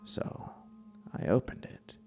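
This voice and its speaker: A man's voice: slow pace, music in the background, close to an everyday voice. A man performing a deranged, gloating murderer who tells his own horror story, theatrical and swinging from whispers to outbursts. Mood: ominous, stealthy. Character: deranged murderous male narrator, gloating and obsessive. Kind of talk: dramatic horror story reading.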